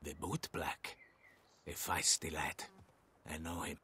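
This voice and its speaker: An actor playing a kindly, patient older man who runs a poorhouse in a video game, speaking in a gentle, courteous, measured way. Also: very deep voice, level tone, slight background noise, full character voice. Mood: calm, courteous.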